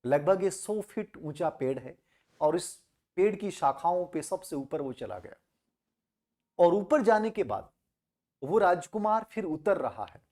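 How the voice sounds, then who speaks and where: A man teaches through a moral tale with a very lively, swinging pitch. He speaks emphatically, repeats key phrases and puts rhetorical questions to his listeners. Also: a little slow. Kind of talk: moral storytelling to students.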